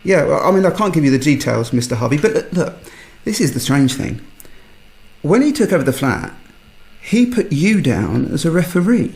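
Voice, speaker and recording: An actor playing a polite, officious British policeman in a radio drama, halting and apologetic. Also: fairly high pitch, very animated, vocal fry, a little mellow. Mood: apologetic, probing. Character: polite, hesitant policeman delivering awkward news. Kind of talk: naturalistic radio drama acting.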